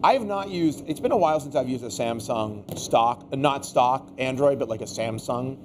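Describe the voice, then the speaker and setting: Guy talking tech off the cuff, kinda exasperated, tired n losing his voice, mid pitch, noisy background. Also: expressive, moderate pace. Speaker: tech show host. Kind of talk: casual unscripted tech discussion.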